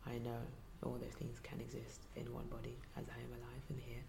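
Soft-spoken hesitant barber, gravelly and flat. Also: mid-range voice, brisk. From Nigeria and London. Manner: hesitant, many ums, soft half-whispered delivery. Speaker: barber and performer. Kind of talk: casual interview answer. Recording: heavy background noise.

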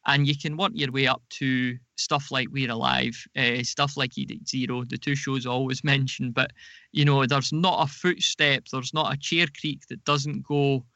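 A man talks through his points as an interview guest, in a creaky voice with a crisp, bright edge. The sound is close and dry. He pauses and rephrases as he explains. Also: medium pitch, fairly even intonation, no echo, dull audio. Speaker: podcast guest, audio drama producer.

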